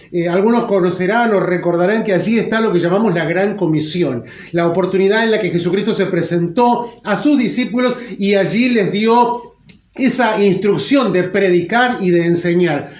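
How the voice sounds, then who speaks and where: A middle-aged preacher from Argentina with a faint Argentine lilt and a voice that sits well above the usual man's range. He talks in a loose, conversational way, full of fillers and restarts, and the sound carries a clear room echo. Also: high-pitched. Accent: Argentine Spanish, but weak. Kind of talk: sermon, livestream.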